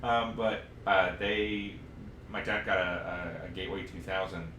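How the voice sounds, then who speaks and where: A man in his thirties chatting casually as a podcast co-host, rambling and halting as he goes. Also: low-pitched, flat delivery, bright, forceful tone, recorded from a distance. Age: 30s. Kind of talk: casual chat about old games. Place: echoey room, live stream podcast recording. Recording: roomy echo, noticeable background hiss.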